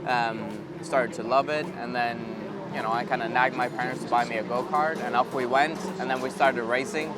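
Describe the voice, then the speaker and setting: A man's voice: medium pitch, normal speed, few pauses, very noisy. Easygoing young man. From Sweden. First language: Swedish. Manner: relaxed, easygoing, frequent ums and yeahs. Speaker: racing driver guest. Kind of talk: casual interview chat.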